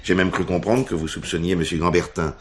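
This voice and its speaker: An actor playing a loyal junior police detective in a French radio crime drama, questioning in a puzzled, matter-of-fact way. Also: male voice, low-pitched, fairly even intonation, fairly quick, lightly acted. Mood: doubtful.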